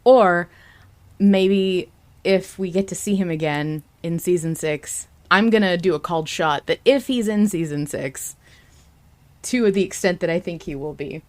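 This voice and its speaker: A woman's voice: fairly low pitch, a little slow, darkish tone. A woman hosting a casual fan podcast, talking in a chatty, enthusiastic way. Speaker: podcast host.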